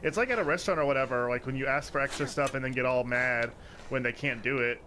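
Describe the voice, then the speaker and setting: A young man talking casually over a game he is playing, wandering from thought to thought and filling gaps with "like" and "um." His voice sits at an ordinary middle pitch and leaps up when something excites him. A steady hiss of noise runs underneath the whole recording. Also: quick pace, low-quality recording. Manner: rambling, heavy use of "like" fillers. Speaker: let's play commentator. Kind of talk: casual rambling commentary over gameplay.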